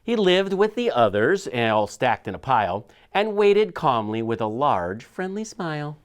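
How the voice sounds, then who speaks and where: Animated man reading a children's story. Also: medium pitch, unhurried, clear, resonant tone. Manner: animated storytelling with dramatic shifts. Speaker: school superintendent reading aloud. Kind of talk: expressive children's picture book read-aloud.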